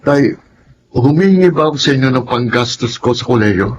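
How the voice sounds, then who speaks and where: An actor playing an earnest, self-sacrificing young college student in a Filipino radio drama, speaking respectfully. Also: male voice, mid-range voice, expressive, normal speed, highs cut off, natural voice. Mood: impassioned pleading. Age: young adult. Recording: noisy radio drama track.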